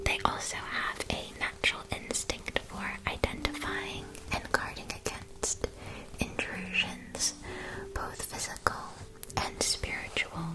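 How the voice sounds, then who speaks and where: A woman playing a gentle, attentive witch shopkeeper in a scripted ASMR roleplay, whispering soft, measured, soothing explanations. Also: close to an everyday voice, rough recording. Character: gentle, attentive witch school supply shopkeeper advising a student.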